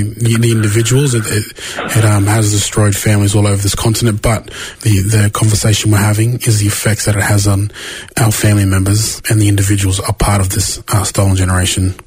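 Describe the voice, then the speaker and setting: Husky Australian radio host. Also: male voice, lowish voice, dry recording, poor audio. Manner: relaxed, conversational with fillers. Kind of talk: radio interview.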